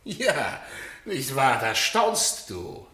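An actor in a German radio play as a domineering, mocking husband who talks with theatrical condescension. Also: male voice, mid-range voice, very animated, very slow, heavy background noise. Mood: gloating, mocking. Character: sneering, domineering husband who enjoys power and taunting.

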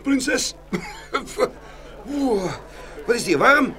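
A man's voice: very high-pitched, expressive, extremely slow, pushed and bright, voice pushed up, exaggerated voice. A man voicing a conceited, silly brother in a fairy-tale audio play. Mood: flustered. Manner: sneering, giggly, later flustered. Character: mocking, boastful second brother in a fairy tale.

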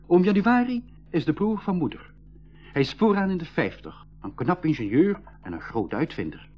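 A man's voice: medium pitch, breathy edge. A man with a Flemish accent playing a cautious, sensible eighteen-year-old brother in a 1980s school radio play, acting and narrating theatrically. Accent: Belgian Dutch (Flemish). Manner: theatrical, reasoning, storytelling delivery. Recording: dull lo-fi 1980s radio recording.

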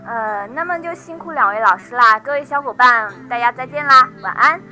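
A woman's voice: high-pitched, a little fast, music in the background. A woman on livestream staff announcing things casually, in a polite, explanatory way.

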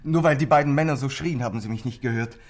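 An actor playing a troubled teenage boy in a German radio crime drama, bitter and frightened, with a husky, breathy voice and an intense, halting, confessional delivery. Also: mid-range voice, lightly acted. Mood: anxious.

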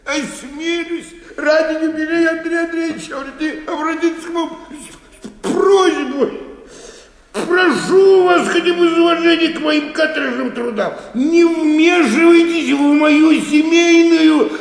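High-pitched, touchy bank bookkeeper. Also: male voice, fairly even intonation, measured pace, vocal fry, exaggerated voice. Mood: indignant, pleading. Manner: querulous, labored, obsequiously formal. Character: overworked, touchy, aggrieved male bank bookkeeper. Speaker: actor in a radio play. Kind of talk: theatrical Russian radio drama acting. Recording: echoey hall, faint background noise.

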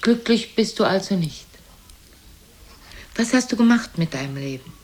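A woman's voice: medium pitch, lively intonation, moderate pace, a little mellow, natural voice. An actress playing an imperious, blunt, aged mother who coolly belittles her son in a theatrical radio play. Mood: insistent, demanding. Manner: haughty, probing, theatrical. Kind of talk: German radio play acting.

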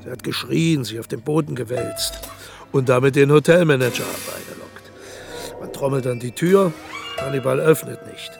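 Man playing a dictator, gravelly, dismissive, radio play, faint music behind. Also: mid-range voice, moderate pace, natural voice. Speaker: radio drama actor. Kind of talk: radio play acting and voice-over translation.

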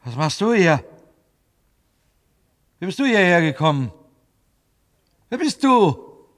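An actor in a German radio play, playing a brash, bossy but chummy worker with a blunt, colloquial way of talking. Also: male voice, high-pitched, big pitch swings, slow, no room sound, clean studio sound, full character voice. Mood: startled, loud. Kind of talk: German radio drama acting.

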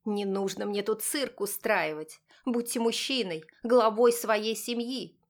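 A woman's voice: mid-range voice, unhurried, close to an everyday voice. A woman voicing a haughty, cutting, imperious mother guarding her son in an audiobook drama. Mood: scornful, demanding. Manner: cutting, imperious, speaks through clenched teeth.

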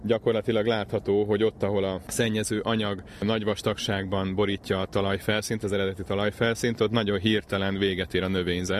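A man explaining things as a conservation specialist in an outdoor interview, measured and precise. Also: lowish voice, level tone, slight background noise. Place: outdoors in a wetland reserve.

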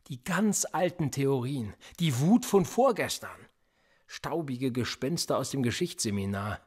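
An actor playing a sardonic, quietly menacing police interrogator in a radio play, speaking in a sarcastic, probing way. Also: male voice, medium pitch, lively intonation, a little slow, slightly rough. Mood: bitter, weary. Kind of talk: German radio play acting.

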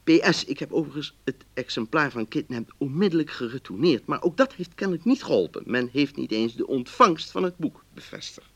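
A man's voice: medium pitch, lively intonation, fairly quick, vocal fry, hardly any pauses, a bit bright. An actor in a radio play playing an exasperated but polite customer, earnestly and emphatically reading out his letter. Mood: earnest, aggrieved. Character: exasperated, polite male book-club customer writing letters.

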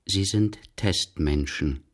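A man with a standard German accent reads scripted literary radio narration in a measured, deliberate way. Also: low voice.

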